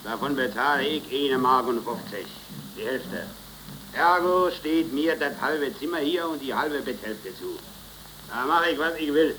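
A man's voice: mid-range voice, unhurried, sharp tone. A man playing a blunt, grumbling, cheeky unemployed labourer, acted in colloquial 1930s radio drama style. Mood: needling, blunt. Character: grumbling, cheeky unemployed working-class roommate. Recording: noisy vintage 1930s radio recording.

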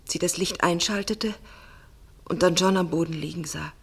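An actress playing a shaken, grieving daughter in a German radio drama, half-whispering in a breathy voice. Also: female voice, lowish voice, moderate pace. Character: grief-stricken daughter, subdued and shaken.

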